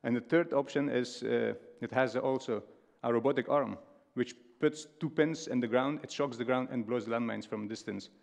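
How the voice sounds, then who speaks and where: A man in his thirties from Afghanistan giving a stage talk, halting with many fillers and restarts. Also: mid-range voice, vocal fry, a little mellow. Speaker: speaker. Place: large stage hall with audience. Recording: long hall reverb.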